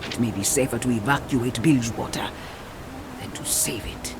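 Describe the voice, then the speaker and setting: A man's voice: medium pitch, moderate pace, background noise, natural voice. A man playing a stern, cautious temple elder in a video game, speaking formally and with foreboding. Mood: stern, refusing. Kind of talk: dramatic video game acting. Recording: clear music behind the voice.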